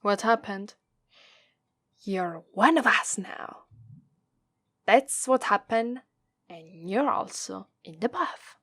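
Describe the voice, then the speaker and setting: A haughty demon mistress with an Italian accent , acted out by a woman, speaks slowly and with condescension. She teases and threatens in a close, dry ASMR roleplay, drawing out her taunts like an owner toying with a pet. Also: mid-range voice, animated, fairly bright tone, no room sound, exaggerated voice. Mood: commanding, haughty. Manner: condescending, drawn-out, taunting delivery. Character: haughty, teasing, menacing female demon master.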